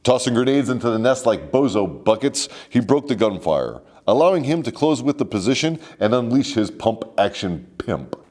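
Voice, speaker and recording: A man's voice: medium pitch, very animated, moderate pace, few pauses, a little mellow. A man hosting a scripted comedic military history story, mock-formal and sardonic with salty slang. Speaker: host and storyteller.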